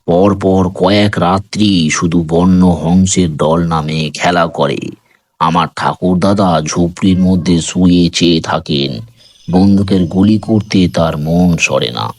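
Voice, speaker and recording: A man reading a literary story in a measured, dramatic narrating style. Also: low voice, a little mellow.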